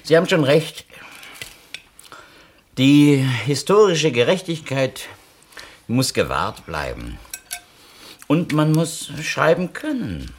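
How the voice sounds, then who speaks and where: An actor playing a polite, educated publisher's editor who speaks tactfully and effusively in a radio play. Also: male voice, a little high, very animated, slight background noise, full character voice. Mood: tactful, measured. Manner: courteous, effusive, carefully measured. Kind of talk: naturalistic radio play dialogue.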